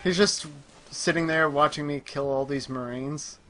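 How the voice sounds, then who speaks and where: A man's voice: on the high side, fairly even intonation, moderate pace, pushed and bright, soft background music. A man hosting a gaming show, chatting casually and commenting live as he plays. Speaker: gaming show host playing a video game. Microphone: microphone on a group internet voice call.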